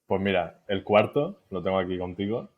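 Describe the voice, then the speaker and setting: A young man from Spain with a Málaga accent, chatting casually as a podcast guest, relaxed, with lots of fillers and easy laughter. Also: low voice, moderate pace, narrow, tinny audio. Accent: Andalusian Spanish from Málaga. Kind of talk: casual interview chat.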